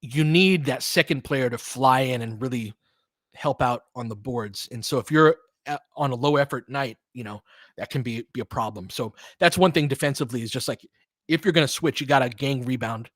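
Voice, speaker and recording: A man's voice: medium pitch, normal speed, fairly bright tone, no echo, clean studio sound. A man hosting an informal live play-by-play, commentating and analysing in a conversational, fan-like way as he chats with listeners. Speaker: live audio play-by-play host.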